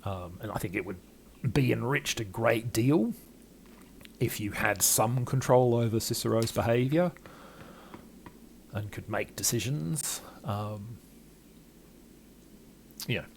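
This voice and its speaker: A man's voice: medium pitch, slow, vocal fry, pauses often, slight background noise. An older man hosting a game playthrough with casual, rambling, self-deprecating commentary. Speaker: gaming video host.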